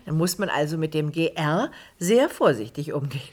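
An actress playing a curious, probing interviewer in a radio drama. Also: female voice, sits low, animated, moderate pace, darkish tone.

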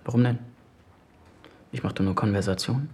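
An actor playing a jealous, sarcastic husband in a naturalistic radio drama, curt and needling. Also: male voice, on the low side, normal speed, a little mellow, lightly acted. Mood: mocking. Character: tense, jealous, sarcastic husband, an interior designer.

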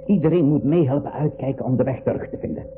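A man's voice: mid-range voice, fast, music in the background, natural voice. An actor playing an older male professor and captain, a worried leader in a 1980s school radio drama. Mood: alarmed, worried. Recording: dull radio recording with clear noise.

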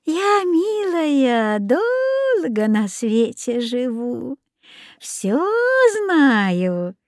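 A woman voicing a sugary old witch in a storybook, luring children with cooing, drawn-out, sing-song talk. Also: a little high, big pitch swings, darkish tone, no echo, studio quality, pitched above the performer's own voice, exaggerated voice. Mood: cooing, sweet.

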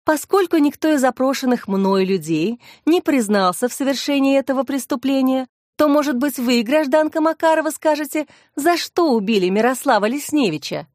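A composed female investigator in a crime audiobook, read by a woman narrator. She speaks in a rather high voice, polite but pointed and sure of herself. The sound is dry. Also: animated, normal speed, few pauses, darkish tone, no room sound. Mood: satisfied, accusing.

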